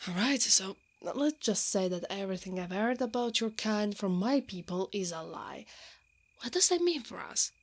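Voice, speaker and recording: A woman's voice: mid-range voice, animated, normal speed. Brash, protective woman knight, acted by a woman. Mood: exasperated. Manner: defiant and exasperated, slipping into flustered stammers. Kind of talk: ASMR roleplay acting.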